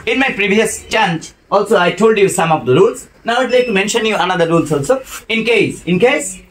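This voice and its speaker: A man's voice: high voice, expressive, brisk, a little hiss or hum. A man in his thirties with a Bangladeshi accent, teaching English grammar to a classroom and prompting students to finish his sentences. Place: crowded classroom full of students. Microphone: camera in the room.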